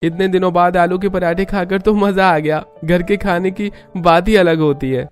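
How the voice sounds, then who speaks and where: A man's voice: high voice, steady tone, normal speed, soft background music, natural voice. A man playing a sheepish young husband in a cartoon, earnest and defensive in how he speaks. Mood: contented.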